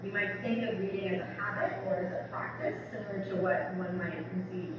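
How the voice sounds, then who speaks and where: A woman's voice: on the low side, steady tone, brisk. A woman speaking as an English professor giving a public book talk in a conversational manner, often checking in with her listeners. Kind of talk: public lecture, semi-scripted. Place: large reverberant room, recorded from afar. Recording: distant mic, echoey hall, noisy background.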